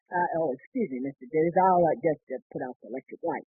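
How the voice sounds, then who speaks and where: A man's voice: a little high, quick pace, husky, dry recording. An American man playing an eager, good-natured, bumbling young husband who stammers and exclaims, in the style of 1940s radio comedy. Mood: cheerful, stammering. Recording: muffled, band-limited vintage radio recording.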